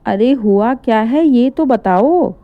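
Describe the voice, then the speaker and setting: A woman's voice: fairly high pitch, animated, takes it slowly, no echo, narrow, tinny audio. A woman voicing a bewildered middle-aged father asking what happened. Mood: puzzled. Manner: puzzled, asking.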